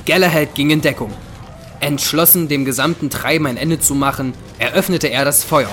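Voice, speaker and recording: A man's voice: a little high, moderate pace. A man reading scripted narration for an audio drama, telling the story in the past tense. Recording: loud music under the voice. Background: loud dramatic music.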